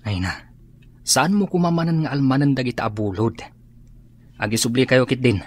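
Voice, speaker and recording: A man's voice: medium pitch, moderate pace, natural voice. A man playing a weary, reproving husband in a radio drama, with an Ilocano accent.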